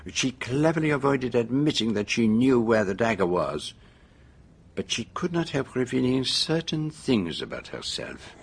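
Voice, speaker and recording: Courteous older detective with a French-speaking European accent. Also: male voice, lowish voice, gravelly, husky, full character voice. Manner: formal, precise, hesitating politely. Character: older male detective, courteous, shrewd, gently scheming. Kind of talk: BBC radio drama acting.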